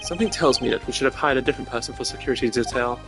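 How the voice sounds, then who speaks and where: A man's voice: medium pitch, close to an everyday voice. Male streamer as video game prosecutor, formal and self-important, mid-range voice, strong music bed. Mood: composed, pondering. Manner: measured, formal, pompous. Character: cool, formal male prosecutor with deductive mind. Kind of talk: amateur video game voice-over. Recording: loud music under the voice.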